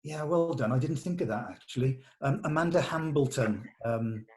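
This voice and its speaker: A man's voice: medium pitch, moderate pace, darkish tone. Enthusiastic man chatting. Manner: enthusiastic, encouraging. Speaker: director leading a script read-through. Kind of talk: casual production meeting chat.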